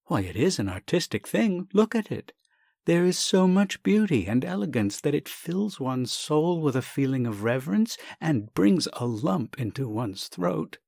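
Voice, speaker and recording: A man's voice: on the high side, sing-song, unhurried, gravelly, darkish tone, no echo, clean studio sound, pitched above the performer's own voice, full character voice. A man voicing an earnest, effusive young art lover and devoted son in a comic story, gushing, emphatic and pleading. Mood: gushing, insistent. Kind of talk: acted reading of a comic short story.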